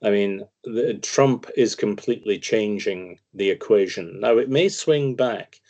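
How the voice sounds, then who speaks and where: A man's voice: lowish voice, a little slow, a little mellow. A man with a Scottish accent, a guest analyst giving emphatic, opinionated commentary in long explanations. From Scotland. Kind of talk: conversational interview commentary.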